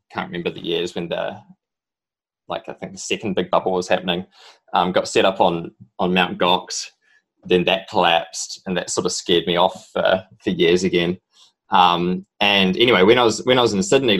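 A young man with a strong New Zealand accent and a very low, deep voice. He speaks as a podcast guest in relaxed conversation, stopping and restarting often and padding his story with ums. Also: creaky. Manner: halting, rambling storytelling full of ums. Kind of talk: casual interview talk.

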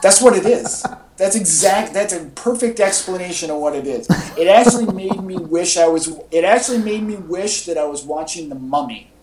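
Echoey, higher-voiced man chatting casually. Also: normal speed, darkish tone, a little hiss or hum. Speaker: podcast co-host. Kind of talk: casual film chat. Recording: echoey podcast audio.

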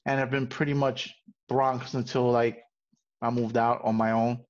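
A man's voice: medium pitch, level tone, unhurried, lo-fi sound. A middle-aged man from Puerto Rico hosting a casual interview podcast, laughing often and tossing in playful asides. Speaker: podcast host.

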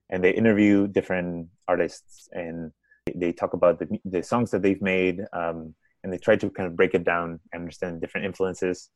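A man's voice: deep voice, a little mellow, no echo. A man with a Spanish accent, a startup founder answering podcast questions thoughtfully and often restarting his words. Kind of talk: conversational interview answers.

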